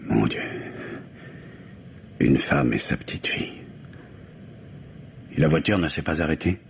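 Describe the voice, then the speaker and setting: Low-voiced grave widower, muffled old recording. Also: male voice, lively intonation, measured pace, creaky, heavily acted. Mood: stricken, grave. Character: grieving, bitter New York widower hunting his wife's killer. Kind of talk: French mystery radio play acting. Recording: dull, hissy vintage radio recording.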